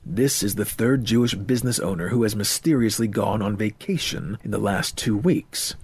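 Man playing an earnest, husky young man. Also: fairly low pitch, normal speed, a little mellow, no echo. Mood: suspicious. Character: twenty-year-old earnest, restless lovestruck young man.

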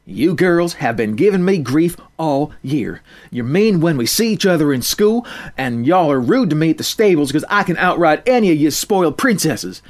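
A man's voice: a little high, pitched above the performer's own voice. A man voicing a tough fourteen-year-old country girl who talks in a rural drawl with folksy sayings.

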